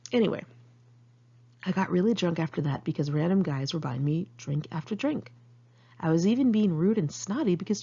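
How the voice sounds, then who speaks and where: A woman's voice: mid-range voice, darkish tone, breathy edge, muffled recording. An American woman reading her own memoir aloud in a candid, conversational, sardonic way. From United States, Los Angeles area. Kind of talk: first-person memoir narration, read aloud in one take.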